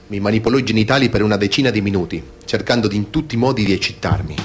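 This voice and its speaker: A man's voice: on the low side, racing, a little hiss or hum, lightly acted. An actor playing an adult male abuse survivor, reciting grave testimony for radio theatre in a sober, restrained way.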